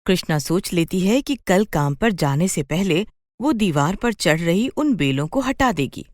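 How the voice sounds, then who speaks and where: A woman's voice: on the low side, lively intonation, unhurried, darkish tone, no echo, clean studio sound. A woman narrating a scripted children's story as a storyteller.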